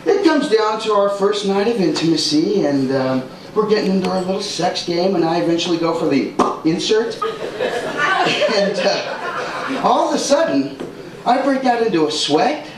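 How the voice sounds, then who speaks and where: A high, lively male voice delivers a stand-up set with punchy, exaggerated timing. He is captured from a distance in a very echoey club, with heavy room noise around him. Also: normal speed. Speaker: stand-up comedian. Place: comedy club stage with laughing audience.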